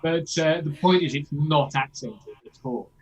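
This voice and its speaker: A man's voice: fairly high pitch, measured pace, slightly rough, dull audio. A man coaching a singer in a masterclass, explaining things and checking in with "yeah?" as he demonstrates lines himself. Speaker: vocal coach.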